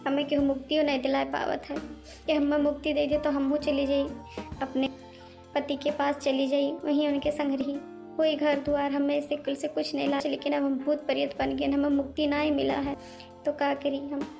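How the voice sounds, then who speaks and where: A woman's voice: high voice, completely flat delivery, moderate pace, vocal fry. A woman voicing a moody, sorrowful ghost witch in a young bride's body for a cartoon comedy, with an Awadhi accent and rustic, plaintive talk. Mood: sorrowful, brooding. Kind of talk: amateur cartoon comedy voice acting. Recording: music behind the voice.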